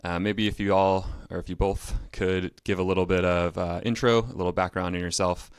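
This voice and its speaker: Male podcast host, very deep voice, smooth tone, dry sound, conversational, casual. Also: fairly even intonation, a little fast, dry recording.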